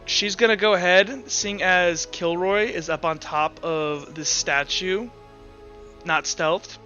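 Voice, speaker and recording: A man running a tabletop roleplaying game, improvising his narration in a halting way and repeating words as he thinks aloud. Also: high voice, fairly even intonation, pushed and bright, lo-fi sound, soft background music. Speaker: game master.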